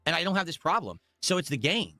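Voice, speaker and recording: A man's voice: a little high, very animated, fast, no echo. A man in a replayed stream clip, frustrated and complaining at length. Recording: stream clip with loud game music.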